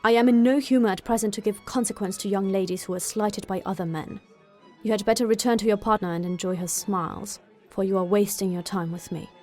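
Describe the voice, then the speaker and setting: A woman voicing a proud, disdainful young gentleman in an audiobook, with a cold, clipped manner. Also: mid-range voice, brisk, faint music behind, close to an everyday voice. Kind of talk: audiobook character voice.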